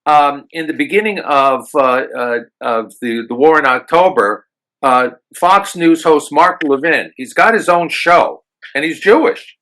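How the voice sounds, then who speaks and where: An American man hosting a radio interview, talking haltingly with many fillers and restarts. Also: on the high side, animated, bright, forceful tone, rough recording. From United States. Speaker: radio show host.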